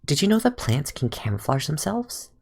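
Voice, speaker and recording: A young man voicing a calm, sardonic male fox-eared forest ranger and scholar in a fan redub of game lines. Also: fairly high pitch, normal speed, gravelly, husky, exaggerated voice. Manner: dry, sardonic, with soft musing asides. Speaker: fan voice actor.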